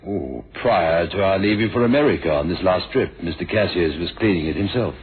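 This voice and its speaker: An actor playing a nervous, deferential secretary in a radio drama, formal and obliging with a hesitant delivery. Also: male voice, deep voice, expressive, a little fast, slightly rough, heavily acted. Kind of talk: 1970s radio drama acting. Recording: tinny, band-limited old radio recording.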